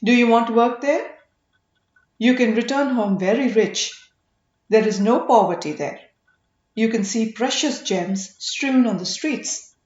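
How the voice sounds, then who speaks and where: A woman's voice: on the high side, measured pace, darkish tone, highs cut off, close to an everyday voice. Woman reading as a charming merchant man, roomy sound. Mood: persuasive. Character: charming young male gem merchant, persuasive and dreamy.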